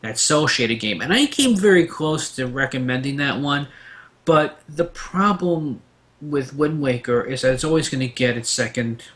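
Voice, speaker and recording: A man's voice: mid-range voice, lively intonation, normal speed, a bit bright. Rambling, opinionated man talking games. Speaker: panelist. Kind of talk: casual gaming discussion.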